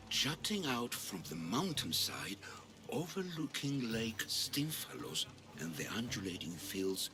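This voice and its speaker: An actor playing a dreamy, lyrical storyteller in a video game, savouring grand words. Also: male voice, medium pitch. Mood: reverent, dreamy. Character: poetic male storyteller, dreamy and lofty. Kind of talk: video game cutscene acting. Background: loud music.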